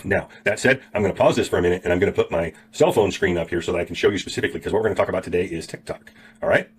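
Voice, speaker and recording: A man with a cold, hoarse and losing his voice, giving a casual tutorial to his viewers, rambling and often clearing his throat. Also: low-pitched, very fast. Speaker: YouTube affiliate marketing teacher. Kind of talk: casual tutorial talk to camera.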